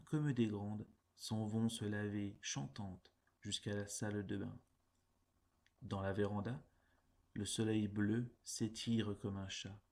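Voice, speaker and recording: A man reciting poems in a measured, deliberate way, phrase by phrase. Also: fairly low pitch, darkish tone. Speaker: poetry reader. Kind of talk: poetry recitation.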